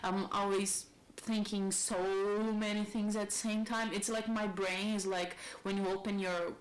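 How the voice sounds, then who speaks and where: A woman's voice: mid-range voice, flat delivery, measured pace, poor audio. A Brazilian woman in her thirties, speaking English as a second language with a heavy Brazilian Portuguese accent, thinking aloud in a rambling personal vlog at home. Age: 30s. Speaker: YouTuber.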